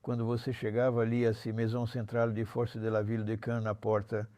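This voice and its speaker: Older man, low even voice, blunt storyteller. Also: a little fast, no echo, clean studio sound. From Normandy, France. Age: 70s. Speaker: interview guest, former armed robber. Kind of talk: conversational life-story recounting.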